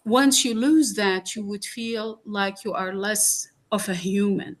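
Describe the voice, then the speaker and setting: A woman from Iraq, a guest on a talk podcast, speaking slowly and earnestly with many pauses and fillers. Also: medium pitch, steady tone.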